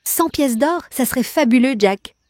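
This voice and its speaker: A woman plays a farm mother in a children's cartoon tale. Her voice is rather high, with a dry studio sound. She is bossy and expressive, quick to decide and easily exasperated. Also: no echo. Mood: hopeful. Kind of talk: children's cartoon storybook acting.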